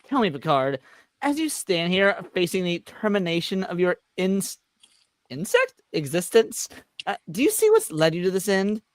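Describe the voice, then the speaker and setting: A woman's voice: lowish voice, sing-song, normal speed, no room sound. A woman reading the part of a grandiose, mocking, all-powerful trickster villain in a sci-fi script. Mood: taunting.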